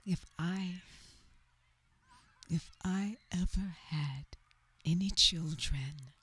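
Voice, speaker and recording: An actress in a radio theater play, voicing a grown woman who recalls a hard childhood with quiet resolve. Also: on the low side, very slow, breathy edge, exaggerated voice. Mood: resolute.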